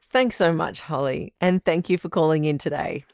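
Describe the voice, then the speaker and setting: A woman acting a low, calm radio advice doctor. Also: normal speed, creaky, dry recording, studio quality. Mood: supportive, attentive. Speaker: radio show host. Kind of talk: scripted radio advice-show drama.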